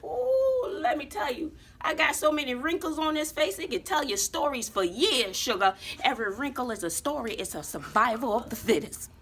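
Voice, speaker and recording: A woman's voice: sits high, expressive, moderate pace, few pauses, fairly bright tone. An American woman playing a sassy, folksy, wrinkled old woman who tells stories to students in an emphatic, theatrical way, repeating herself for drama. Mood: sassy, lively. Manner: emphatic, dramatic, repeats phrases, addresses listeners as sugar. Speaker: teacher telling her life story to students. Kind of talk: theatrical classroom storytelling. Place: classroom with students present.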